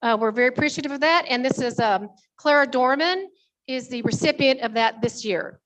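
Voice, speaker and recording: Woman, high-pitched voice, meeting presenter, informal report, folksy, conversational. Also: brisk, fairly bright tone, low-quality recording. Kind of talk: informal spoken committee report to an audience.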